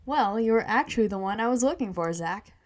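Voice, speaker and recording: A woman's voice: on the high side, expressive, a little fast, natural voice. A woman voicing a small, sassy male talking raccoon from a story, exclamatory and cheeky. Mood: eager.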